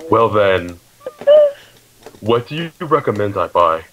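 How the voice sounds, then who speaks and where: Man posing as a low-voiced, halting hefty girl. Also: expressive, dull audio. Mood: hesitant, earnest. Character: hefty girl shopper, earnest and naively persistent. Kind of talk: prank phone call.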